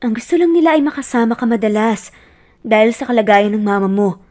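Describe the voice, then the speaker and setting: Remorseful male lover read by a high-voiced woman. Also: expressive, fast talker, darkish tone, close to an everyday voice. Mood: earnest, explaining. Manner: earnest, tender, pleading. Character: gentle, remorseful man pleading with former girlfriend. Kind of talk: romance audio story acting.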